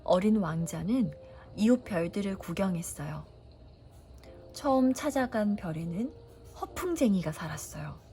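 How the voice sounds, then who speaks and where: A woman reading a children's storybook aloud as a bedtime story, narrating in a gentle, unhurried way. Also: mid-range voice, expressive, a little hiss or hum. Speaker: storybook reader.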